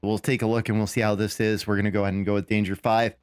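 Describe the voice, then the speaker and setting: A man with a raspy voice, still getting over a lost voice, giving casual live commentary on a game he is playing and thinking aloud as he goes. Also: on the low side, flat delivery, rapid-fire, no echo, studio quality, soft background music. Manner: rambling, thinking aloud with fillers and restarts.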